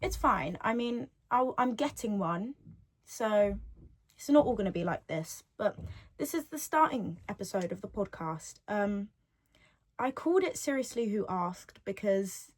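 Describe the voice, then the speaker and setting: A woman's voice: medium pitch, expressive, unhurried. An eighteen-year-old woman talks on her solo podcast, chatty and rambling, often repeating her own phrases. Her voice sits in an everyday middle range. She records from home on a device's built-in mic, not a proper microphone. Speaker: podcast host.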